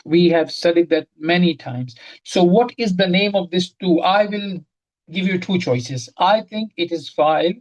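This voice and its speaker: A woman's voice: fairly low pitch, measured pace, darkish tone, low-quality recording. A woman teaching an online Arabic grammar lesson, explaining each point step by step, repeating key terms and quizzing her students. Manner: quizzes the class directly.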